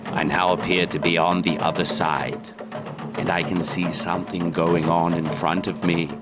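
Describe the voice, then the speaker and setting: A man's voice: low voice, flat delivery, natural voice. A man playing a weary, melodramatic male explorer recording a solemn audio diary in mock old-time radio style. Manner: slow, solemn, drawn-out delivery. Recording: loud music under the voice. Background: loud music.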